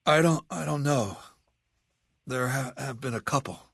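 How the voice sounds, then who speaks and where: A man playing an aging, imperious warlord dictator in a video game, with a measured, commanding and menacing manner. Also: mid-range voice, gravelly, fairly bright tone, slightly rough, no echo, clean studio sound, exaggerated voice. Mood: faltering, evasive. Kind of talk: video game character dialogue.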